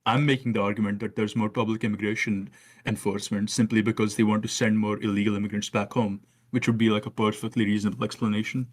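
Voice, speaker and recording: A man's voice: fairly low pitch, level tone. Indian-accented man in his late twenties. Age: late 20s. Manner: fast filler-heavy talk, many "like"s, swears, interrupts. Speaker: caller debating the host. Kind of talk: heated casual debate.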